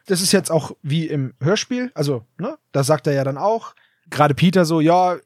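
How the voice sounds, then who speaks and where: A man's voice: fairly high pitch, expressive, moderate pace, no room sound, clean studio sound. A man co-hosting a casual podcast chat, laying out plot details and reading passages from a book. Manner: explains plot details, reads aloud from the book. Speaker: podcast co-host.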